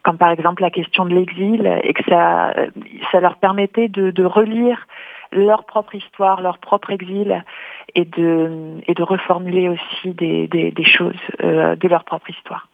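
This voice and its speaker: A woman's voice: on the low side, fairly even intonation, unhurried, creaky, dry recording. A woman author answering radio interview questions, hesitant, with many fillers and restarts. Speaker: author and translator, interview guest. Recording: tinny phone-like line, dry.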